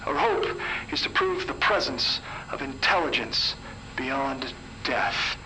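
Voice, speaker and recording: A man's voice: mid-range voice, huge pitch swings, slow pace, bright, forceful tone, a little hiss or hum, full character voice, poor audio. A man voicing a stern male scientist who talks in a precise, formal way. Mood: solemn. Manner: precise, formal recitation of numbers.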